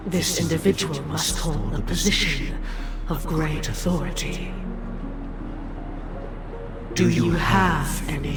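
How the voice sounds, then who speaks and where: An actor playing a lofty, measured male dream ruler who speaks in a grave, formal way, recorded as a game dub over a loud score. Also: on the high side.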